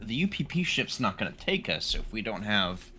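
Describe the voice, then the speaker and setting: A man's voice: lowish voice, sing-song, normal speed. A man playing a tabletop roleplaying game, speaking casually in character with his fellow players. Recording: music playing behind.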